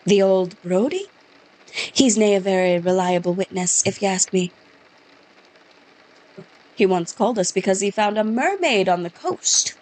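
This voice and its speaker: A skeptical Scottish lawman who brushes off every claim with curt scorn, as a woman reads him aloud from a game. The voice sits at a middle pitch for a woman, and the accent is rough and put on. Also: lively intonation, measured pace, long pauses, darkish tone, natural voice, rough recording. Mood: scornful. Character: skeptical dismissive male Scottish police officer. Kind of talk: reading game dialogue aloud.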